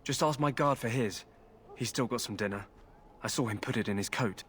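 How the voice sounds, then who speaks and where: An actor playing a cheeky, scheming soldier jailed for desertion, wheedling and sly, in a video game. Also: male voice, mid-range voice, brisk, slight background noise, close to an everyday voice.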